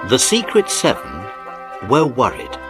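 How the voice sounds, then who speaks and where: A man narrating a dramatised children's audiobook in an expressive, animated storytelling manner. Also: medium pitch, a little slow. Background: music.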